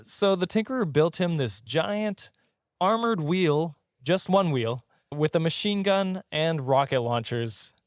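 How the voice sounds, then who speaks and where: A man's voice: on the high side, expressive, unhurried, no room sound, studio quality. A man co-hosting a podcast, telling a comic story casually, tripping over his words, giggling and swearing.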